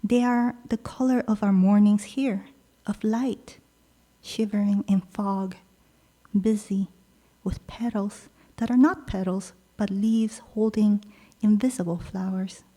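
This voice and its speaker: Slow, measured woman poet reading. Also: mid-range voice, fairly even intonation. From Peru. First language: Korean. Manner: slow, measured delivery with soft pauses between lines. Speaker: poet reading her own work. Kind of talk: poetry reading.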